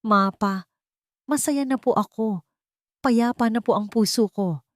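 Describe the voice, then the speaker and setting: A woman voicing a gentle young mother who speaks softly and earnestly in a Tagalog love story. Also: on the high side, unhurried, no room sound, clean studio sound, voice pushed up, natural voice. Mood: tender.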